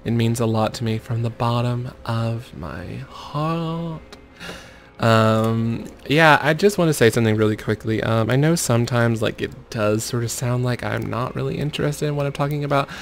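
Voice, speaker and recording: A man with a flat, monotone delivery talks over his gameplay, often muttering urgings at the screen. His voice has a gravelly, creaky edge at a middling pitch. A faint hiss sits under the recording. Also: normal speed. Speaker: gaming video creator. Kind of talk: gameplay commentary.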